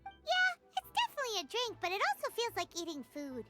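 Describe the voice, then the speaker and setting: A woman voicing a small, cheeky floating fairy companion with a high, squeaky, childlike voice, in a game cutscene. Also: huge pitch swings, brisk, loud music behind the voice, exaggerated voice. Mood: curious. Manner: talks about herself in third person.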